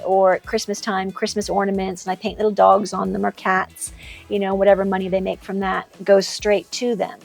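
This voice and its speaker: A woman's voice: mid-range voice, gravelly, darkish tone. Middle-aged woman chatting casually. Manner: chimes in briefly, echoes her partner's words. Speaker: interviewee. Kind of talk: casual interview. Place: outdoor covered patio, tropical garden.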